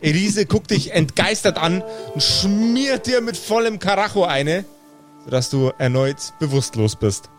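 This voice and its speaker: A man's voice: high-pitched, expressive, unhurried. A man working as game master, improvising the narration of a tabletop role-playing game. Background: background music.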